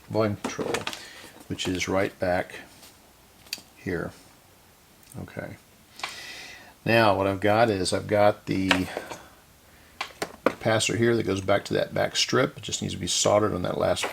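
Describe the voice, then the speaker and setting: A man hosting a hands-on repair video, talking casually and haltingly as he thinks aloud, with folksy self-deprecating asides. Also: on the low side, creaky, breathy edge. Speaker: host of a radio repair video series.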